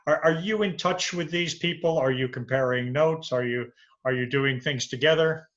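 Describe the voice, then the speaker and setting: A man's voice: on the high side, normal speed, a bit bright. A man hosting an interview over a video call, often repeating words as he starts his sentences. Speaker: host, interviewer. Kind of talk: interview conversation. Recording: dull lo-fi sound.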